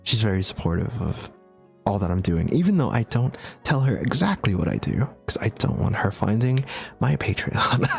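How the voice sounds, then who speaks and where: A young man chatting casually on a livestream, answering viewer questions in a relaxed, chatty way with frequent laughs and fillers. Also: fairly low pitch, moderate pace, vocal fry, faint music behind. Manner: laid-back, chatty, laughs often, many fillers. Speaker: streamer.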